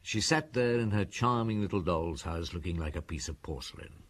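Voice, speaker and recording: A man playing an unhurried French police inspector in an audio drama, courteous and ruminative as he questions and recounts. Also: sits low, animated. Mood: relaxed, musing.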